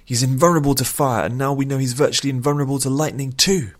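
A man's voice: lowish voice, a little fast, darkish tone, voice pushed up, close to an everyday voice. A man voicing a hot-tempered, vengeful young male hero in a storybook. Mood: furious.

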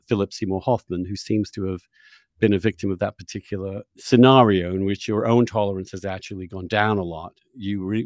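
A man's voice: fairly low pitch, darkish tone, dry recording. A man hosting a long-form interview, speaking in an earnest, discursive way and often rephrasing as he goes. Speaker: podcast host.

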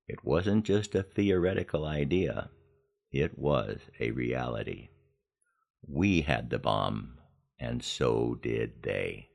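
An American man in his seventies narrating a scripted personal memoir in a wry, folksy way with deliberate pauses. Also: extremely low pitch, creaky. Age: 70s. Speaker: podcast host and storyteller, retired soldier.

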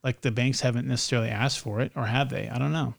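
A man with a slight lisp, chatting casually as a podcast host in a halting way, often restarting his phrases. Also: mid-range voice, steady tone, vocal fry. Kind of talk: casual co-hosted podcast chat.

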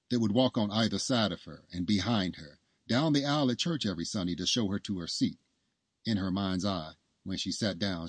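A man narrates a scripted story as a storyteller. His voice is fairly low and husky, recorded dry with hardly any room sound. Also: no room sound.

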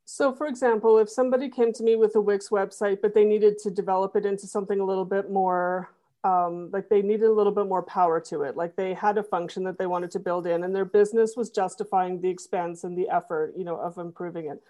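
A middle-aged woman talking through her web development work in a relaxed interview, with plenty of restarts and fillers. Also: mid-range voice, fast talker. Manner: thoughtful, many fillers and restarts. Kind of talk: conversational interview.

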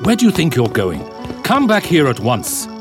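A pompous, swooping scientist. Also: male voice, a little high, huge pitch swings, normal speed, over music. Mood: indignant. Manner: pompous, condescending. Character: imperious, dismissive, obsessive male scientist. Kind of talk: immersive audio drama acting.

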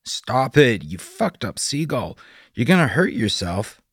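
A man's voice: lowish voice, fairly even intonation, a little fast, no echo, studio quality, pitched above the performer's own voice, lightly acted. A man voicing Doug, a rattled man who talks in a raised, jittery voice.

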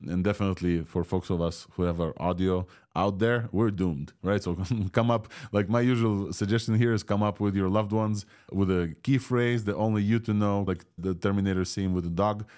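A man's voice: fairly low pitch, fairly even intonation, moderate pace, hardly any pauses, a little mellow. A man with a Russian accent, a native Russian speaker from Ukraine, hosting a casual, opinionated tech news podcast. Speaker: podcast host.

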